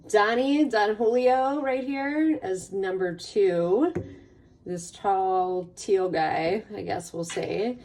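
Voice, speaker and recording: A woman hosting a casual tarot card reading in an informal, playful way, her voice slightly raspy as she gets over a cold. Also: lowish voice, darkish tone, recorded from a distance. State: getting over a cold or allergies. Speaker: tarot reader hosting a pick a card channel.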